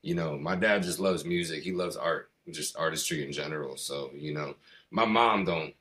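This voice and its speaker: A man's voice: rumbling low, normal speed, bright, forceful tone. A middle-aged American man from the East Coast, a hip hop artist being interviewed, talking in a loose, slangy, rambling way. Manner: slangy, rambling, peppered with "you know" and "man". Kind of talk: casual conversation.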